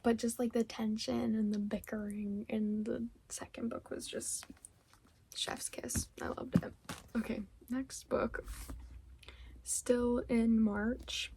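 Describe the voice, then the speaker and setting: A young woman, a little hoarse from losing her voice, chatting casually about books she read, rambling with lots of fillers and giggles. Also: fairly high pitch, level tone, takes it slowly, dark tone, a little hiss or hum. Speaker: book vlogger. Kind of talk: casual book wrap-up chat.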